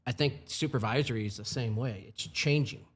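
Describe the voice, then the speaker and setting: A man's voice: mid-range voice, brisk, husky. A man in his forties, a firefighting supervisor, talking in an earnest, plain-spoken way with many asides in a casual podcast chat. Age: 40s.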